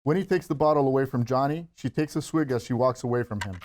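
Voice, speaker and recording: Male narrator for screenplay table read, medium pitch, reads scene directions. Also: quick pace, darkish tone, slight background noise.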